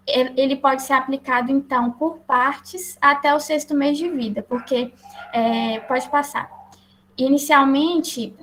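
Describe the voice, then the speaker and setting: A woman with a Brazilian accent presenting slides as a lecturer, explaining in a halting way. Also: high-pitched, a bit bright, rough recording. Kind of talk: slide presentation to health professionals. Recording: clear background noise.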